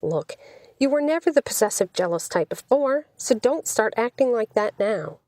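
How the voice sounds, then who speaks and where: A woman voicing a sweet, chatty young woman who is easily exasperated, in an animated audiobook reading. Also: fairly high pitch, normal speed, no room sound. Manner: animated, indignant when challenged.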